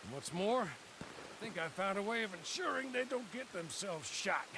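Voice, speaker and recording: An actor playing a composed, inventive gentleman in a western game, speaking in a dry, understated, clipped way. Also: high voice, expressive, moderate pace. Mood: dry, confident. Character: composed, inventive gentleman ally in the Old West. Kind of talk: dramatic game cutscene acting. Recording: clear rain noise behind. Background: rain.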